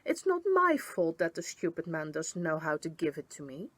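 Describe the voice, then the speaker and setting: A woman's voice: low-pitched, big pitch swings, moderate pace, a bit bright, no echo, pitched below the performer's own voice, heavily acted. A woman voicing a small, wild, impatient female storybook creature, indignant and haughty, with emphatic delivery.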